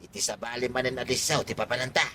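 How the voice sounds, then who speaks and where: Man acting a bright, cocky con-man, Ilocano accent. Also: mid-range voice, steady tone, fast, slight background noise, close to an everyday voice. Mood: sly, amused. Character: cocky, crafty comic con-man pal. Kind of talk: Ilocano comedy radio drama acting.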